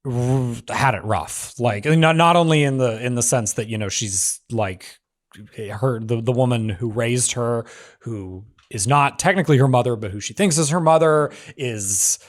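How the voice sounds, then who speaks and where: A man in a casual panel discussion, talking emphatically in halting phrases peppered with "like". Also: mid-range voice.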